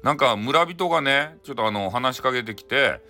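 A man's voice: mid-range voice, lively intonation, moderate pace, bright, forceful tone. A man with a Hakata accent, chattily retelling a folktale in an informal, humorous way. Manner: chatty, many tag particles. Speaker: storyteller. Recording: music bed behind voice. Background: music.

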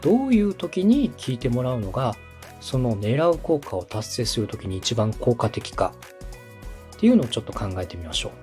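A man speaks at a medium pitch with animated intonation over faint music. He coaches in a structured, courteous way, laying out each point step by step like a presenter. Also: normal speed. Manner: polite, instructive, with step-by-step explanations. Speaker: podcast host and coach, a radio director. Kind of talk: structured explanatory coaching on podcast production.

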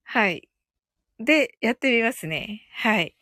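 High-voiced woman chatting on a live stream. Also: expressive, slow, pushed and bright, no echo, clean studio sound. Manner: giggly, cheerful, often thinking aloud. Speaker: host of a live audio stream. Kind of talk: casual live-stream chat, reading out listener comments.

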